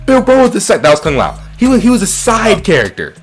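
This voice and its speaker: Animated, higher-voiced man ranting casually. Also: fast, faint music behind. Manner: profane, opinionated ranting with repeated, stumbling phrases. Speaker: podcast host. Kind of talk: casual movie-review chat.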